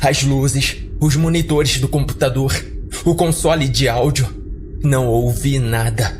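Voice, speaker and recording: A man narrating a scripted horror story in a dramatic audiodrama style, as a podcast storyteller. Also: mid-range voice.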